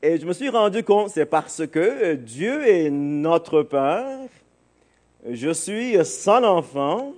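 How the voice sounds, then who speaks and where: Higher-voiced man preaching, halting delivery. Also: sing-song, darkish tone. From Barbados. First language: English. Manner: halting, searching for words. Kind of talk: informal sermon to a congregation.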